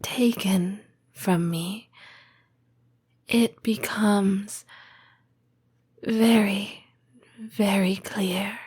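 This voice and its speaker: A woman acting as a lonely, tender snake-woman girlfriend in an intimate audio roleplay. Her voice is breathy and often sinks into a whisper. She speaks extremely slowly, pausing hesitantly, with very little rise and fall in pitch. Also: fairly even intonation, darkish tone, full character voice. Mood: wistful, hurt. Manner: slow, hesitant, intimate delivery with long pauses. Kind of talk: soft-spoken ASMR roleplay.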